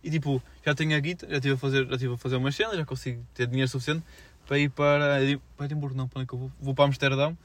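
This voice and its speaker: A young man with a European Portuguese accent chats as a podcast co-host. He rattles along extremely fast in a mid-range voice. His speech is informal and slangy, peppered with fillers. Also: mid-range voice, steady tone, no room sound. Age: young adult. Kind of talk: casual student podcast chat.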